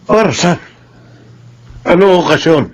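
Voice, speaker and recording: A man's voice: fairly high pitch, a little slow. An actor playing a stern, resentful father in a Filipino radio drama, blunt and scornful. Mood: curt, sceptical. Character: stern, resentful father, harsh on his child.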